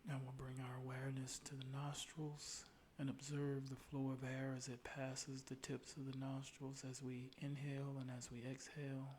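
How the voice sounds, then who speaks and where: A man leading a guided meditation in a calm, soothing, unhurried way, with soft, breathy phrase endings. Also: mid-range voice, slight background noise, poor audio.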